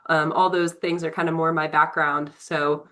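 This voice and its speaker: A woman's voice: sits low, little pitch movement, moderate pace, a bit bright. A young woman from Tennessee, congested from a cold, giving an upbeat, chatty introduction on a video call with many fillers. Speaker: prospective board member.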